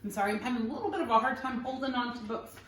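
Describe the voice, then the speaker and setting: A woman teacher reading a picture book aloud to young children, expressive and pausing to question and point things out. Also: a little high, far from the mic, a little hiss or hum. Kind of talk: animated read-aloud storytelling for young children. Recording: roomy, echoey room sound.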